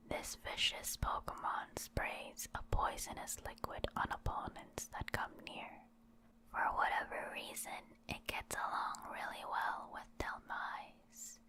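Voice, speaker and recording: A woman whispers her way slowly through short written entries for an ASMR listener. Her delivery is soft and soothing, fully breath-voiced, with words carefully spaced and unhurried. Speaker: ASMR creator. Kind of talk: whispered ASMR reading of game encyclopedia entries.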